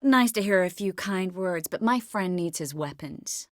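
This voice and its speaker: A woman playing a poised, wry, warm-hearted sorceress in a video game, composed and lightly teasing. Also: fairly low pitch, animated, a little slow, no room sound, studio quality. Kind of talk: scripted game character dialogue.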